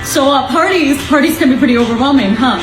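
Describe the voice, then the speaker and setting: A woman's voice: sits high, fast talker. A woman making awkward, giggly small talk in a comedic skit. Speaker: TikTok creator. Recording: echoey room with music.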